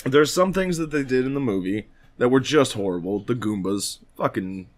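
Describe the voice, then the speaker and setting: A man co-hosting a podcast in casual chat about films, speaking in an animated, opinionated way with frequent swearing. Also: medium pitch, normal speed. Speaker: podcast co-host.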